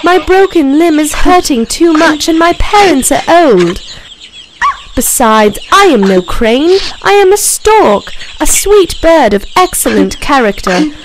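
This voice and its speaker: A woman's voice: high voice, expressive, measured pace, noisy background, pitched above the performer's own voice. A woman voicing an injured male stork begging earnestly for his life. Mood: pleading.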